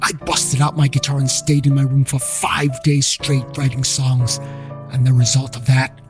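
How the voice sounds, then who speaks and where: Casting: male storyteller for audio drama, medium pitch, first-person narration over a prominent music bed. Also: level tone, moderate pace.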